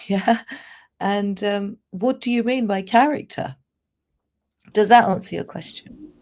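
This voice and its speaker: A woman with a croaky, hoarse voice, talking as a guest in a thoughtful, questioning way. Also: mid-range voice, takes it slowly, darkish tone. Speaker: guest in an audio room. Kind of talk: casual chat.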